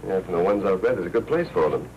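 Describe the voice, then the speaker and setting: An actor playing a brisk, hard-nosed newspaper editor in a 1940s film, with an American accent and brusque, wry patter. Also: male voice, low voice, expressive, dull audio. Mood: sardonic. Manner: brusque, wry, fast-talking newsroom patter. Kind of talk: 1940s film noir acting. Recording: noisy 1940s film soundtrack.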